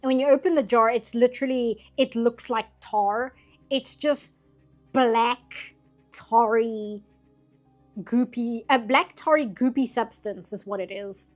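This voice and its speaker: A chatty woman from Britain talks while she draws, wandering from topic to topic and laughing often. Her voice sits a little high and is turning slightly hoarse late at night. Faint music plays quietly behind her. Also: unhurried. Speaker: streamer drawing on stream. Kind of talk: casual chat while drawing.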